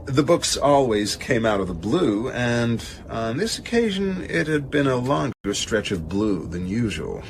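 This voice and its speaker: A man acting a measured, pedantic, evasive establishment gentleman with hesitant, careful speech in a radio drama. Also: mid-range voice, very animated, a little hiss or hum. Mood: musing, aloof.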